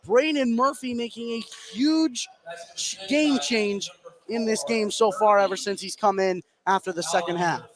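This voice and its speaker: A man doing live radio play-by-play commentary of a basketball game, excitable on big plays. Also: high voice, unhurried, a crowd in the background. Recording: clearly noisy live sports broadcast.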